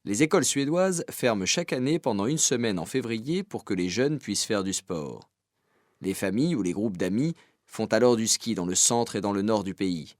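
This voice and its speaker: Casting: male radio presenter, lowish voice, dry studio sound, scripted report narration. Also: animated, moderate pace, no echo.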